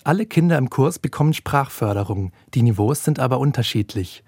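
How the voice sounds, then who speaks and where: A man delivers scripted feature narration in the role of a reporter. His voice sits at a medium pitch and has a husky grain to it. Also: animated, brisk, darkish tone. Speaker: radio reporter.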